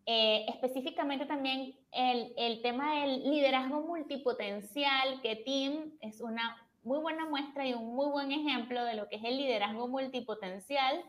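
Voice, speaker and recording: High-voiced woman podcast host. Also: moderate pace, hardly any pauses. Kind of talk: scripted intro then interview hosting.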